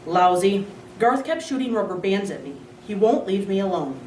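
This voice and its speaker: A man's voice: high-pitched, very animated, moderate pace, a little hiss or hum. A woman reading a storybook, voicing a sulky, fed-up young schoolboy in a roomy room from a distant mic. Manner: grumbling, sullen.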